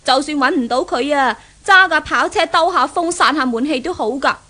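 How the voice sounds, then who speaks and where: An actress playing a spoiled, impatient, lovesick young daughter in a 1970s radio drama, whining coquettishly in Hong Kong Cantonese. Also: female voice, high-pitched, normal speed, bright, forceful tone. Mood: restless, determined.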